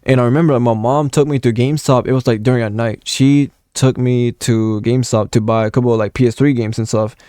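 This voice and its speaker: A man's voice: medium pitch, steady tone, fairly quick, few pauses, warm tone, clear, resonant tone, no room sound, studio quality. A nineteen-year-old man from Puerto Rico, rambling through a casual gaming vlog story with lots of swearing and fillers. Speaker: gaming content creator.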